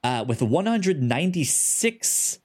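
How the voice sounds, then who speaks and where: A man with a stutter hosting a casual podcast, halting with many ums and restarts. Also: on the high side, very animated, darkish tone, slightly rough. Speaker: podcast host.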